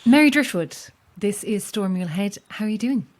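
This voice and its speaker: An actress playing a chatty, self-deprecating lighthouse keeper in an audio drama, friendly and a little wistful, with hesitant asides. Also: female voice, medium pitch, lively intonation, normal speed, slight background noise, close to an everyday voice. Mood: friendly, attentive. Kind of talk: naturalistic audio drama acting.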